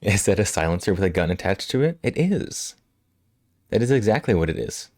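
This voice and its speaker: A male livestream host chatting casually with viewers, slightly hoarse from a cold, relaxed and quick to laugh. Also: deep voice, dry recording, clean studio sound. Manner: relaxed, laughs often, answers chat. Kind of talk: casual chat with live chat. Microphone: studio microphone.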